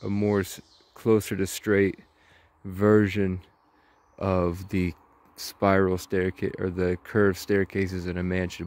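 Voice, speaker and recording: A man in his twenties giving a slow, rambling monologue in short, halting phrases while walking outdoors. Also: low voice, creaky, darkish tone, dry recording. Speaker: vlogger. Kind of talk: slow rambling monologue, ASMR-style walk.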